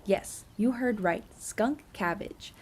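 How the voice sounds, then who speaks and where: A woman with a soft-edged, mellow voice reads scripted narration as a tour guide would. Her delivery is measured and informative, and there is some faint noise behind her. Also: medium pitch. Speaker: student narrator of an audio nature tour.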